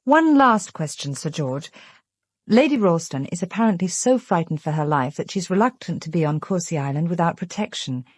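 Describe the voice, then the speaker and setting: An actress playing a cool, businesslike British investigator with a husky voice in a radio drama. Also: female voice, fairly low pitch, expressive, normal speed, no echo. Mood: businesslike, probing. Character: cool, businesslike female investigator questioning a client. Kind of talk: full-cast radio drama acting.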